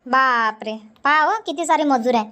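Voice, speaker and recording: A woman's voice: high-pitched, moderate pace, pushed and bright, a little hiss or hum. A woman voicing a bossy, chatty farmer's wife in a comic cartoon, with a rustic Varhadi Marathi accent. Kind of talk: comic cartoon dialogue dubbing.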